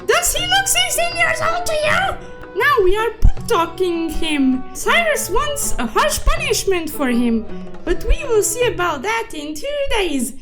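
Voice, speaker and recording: A woman voicing a silly, excitable cute cartoon unicorn who narrates a comic story recap in a playful, exclaiming, mock-dramatic manner. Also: very high voice, unhurried, over loud music, heavily acted. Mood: playful, gossipy.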